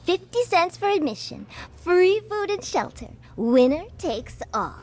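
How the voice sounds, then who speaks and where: High, lively, brash older dame. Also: female voice, unhurried, heavily acted. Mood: teasing, nostalgic. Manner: boastful, teasing, animated. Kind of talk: video game character acting.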